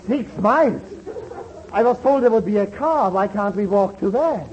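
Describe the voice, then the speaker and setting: An actor playing a weary, exasperated German baron and explorer in a 1940s radio comedy, with a German accent. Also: male voice, high voice, animated, moderate pace, darkish tone, highs cut off. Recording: noisy old radio broadcast, audience behind.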